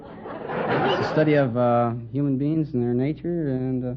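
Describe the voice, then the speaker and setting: A man's voice: medium pitch, fairly even intonation, a little slow, over a noisy crowd. A polite, hesitant American man from Iowa answering questions as a radio quiz contestant. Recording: muffled old radio, loud hiss, audience.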